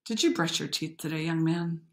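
A woman's voice: deep voice, moderate pace, roomy sound. A woman voicing an unimpressed, half-listening father in a storybook, matter-of-fact and mildly admonishing.

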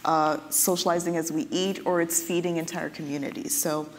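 A woman's voice: deep voice, fairly even intonation, fairly quick. A woman moderating a panel discussion, asking questions conversationally. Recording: hall reverb, faint noise.

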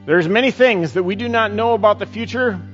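A middle-aged man preaching a sermon and leading a closing prayer, slow and earnest with frequent pauses, on a headset mic. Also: sits high, a bit bright, soft background music. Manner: slow, earnest, pausing often. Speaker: pastor. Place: church stage, indoors. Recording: dull church recording with long reverb.

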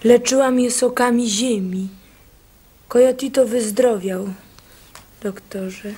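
A woman's voice: a little high, takes it slowly, mellow, husky. An actress playing a protective, defiant young peasant mother in a radio play, firm and plain-spoken.

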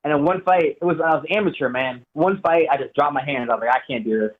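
A young man speaking over a thin, tinny phone line, his voice sitting a bit high. He talks in a loose, rambling way, starting phrases twice before he gets them out. He sounds like a guest who has called in to a show. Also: fast, slight background noise. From Jamaica, raised in Florida. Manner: relaxed, rambling, repeats words. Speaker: guest fighter. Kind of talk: phone-in interview.

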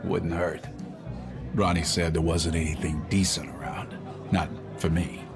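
An actor playing a weary, hard-boiled antihero in a noir video game, terse and world-weary. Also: male voice, sits low, flat delivery, unhurried, music in the background. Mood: weary, flat. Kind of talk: noir game dialogue and inner monologue.

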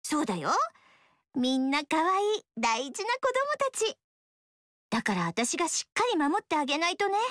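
A bubbly young ninja woman, sweet and eager, who looks after her people like a mother. Her voice is very high and bounces all over. The game studio recording is dry. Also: big pitch swings, moderate pace, a bit bright, no room sound. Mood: cheerful. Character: cheerful young female ninja, protective mother type.

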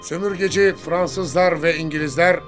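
A man playing a dignified, humane older army commander in a war cartoon, speaking in a solemn, formal, measured way. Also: fairly high pitch, steady tone, close to an everyday voice. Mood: grave, sorrowful. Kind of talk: cartoon war drama dubbing. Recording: music playing under the voice. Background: background music.

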